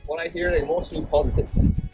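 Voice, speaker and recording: A man's voice: on the high side, steady tone, fairly quick, loud music behind the voice. A man from Japan working as a street interviewer, asking short, friendly questions in casual talk. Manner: brief friendly prompts. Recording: muffled outdoor street recording.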